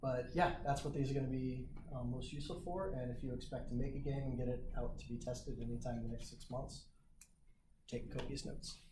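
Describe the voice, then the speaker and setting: A man's voice: mid-range voice, fairly even intonation, brisk. A young man giving a casual introduction as host of a meetup. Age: young adult. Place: small indoor meeting room. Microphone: camera microphone in the room. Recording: echoey, noisy room recording.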